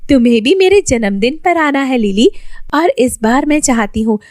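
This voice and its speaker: A woman's voice: high-pitched, expressive, moderate pace, darkish tone, no room sound, clean studio sound, pitched above the performer's own voice. A woman voicing a friendly, generous young girl who coaxes her friend eagerly in a children's story. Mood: eager, insistent.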